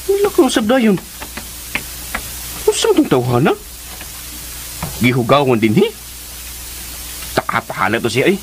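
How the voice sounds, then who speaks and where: A man's voice: mid-range voice, wildly swooping pitch, unhurried, pauses often. An actor playing an exasperated local man with a Cebuano accent in a radio drama, scolding and exclaiming. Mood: flustered. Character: exasperated local man minding an addled older woman. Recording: hissy, crackly recording.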